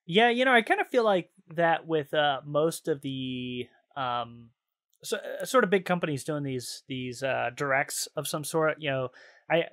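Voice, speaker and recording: Male podcast host, slightly high voice, loose and slangy gaming chat, easily amused. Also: animated, a little slow, fairly bright tone. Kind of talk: casual chat about video games.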